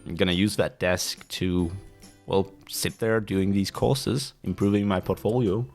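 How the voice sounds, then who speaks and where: A young Danish man with a low voice talks casually on his own podcast. He often stops mid-sentence and restarts while he hunts for the right word. Soft music plays faintly underneath. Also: creaky. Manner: pauses often to search for words, many restarts. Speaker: podcast host. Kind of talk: casual solo monologue.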